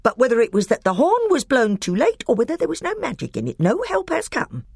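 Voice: medium pitch, huge pitch swings, a little fast, gravelly, husky, dry recording, clean studio sound, voice pushed up, exaggerated voice. A reader voicing a bitter, angry male dwarf who snarls accusations. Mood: furious.

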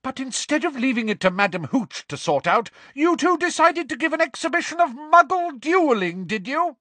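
Stern older schoolmistress, high-pitched, sharp and scolding, livid temper, storybook reading, swooping intonation. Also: male voice, very animated, moderate pace, no room sound, full character voice.

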